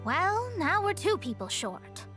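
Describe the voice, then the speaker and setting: A woman's voice: very high-pitched, very animated, measured pace, heavily acted. A woman voicing a proud, self-assured young female astrologist in a video game, articulate and a little haughty. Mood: confident. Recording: faint music behind the voice.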